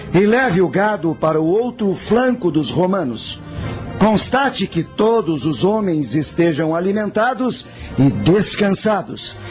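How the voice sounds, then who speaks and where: Man acting commanding Carthaginian general, higher male pitch, calm, shrewd, authoritative, radio drama, music behind. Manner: authoritative, giving orders.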